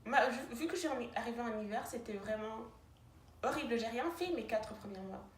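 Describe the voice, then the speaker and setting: Young woman, non-native french speaker, chatty roommate, highish voice, echoey room with faint hiss. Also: fairly quick. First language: neither French nor English. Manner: chatty, many fillers and restarts. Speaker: roommate answering questions. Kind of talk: casual chat.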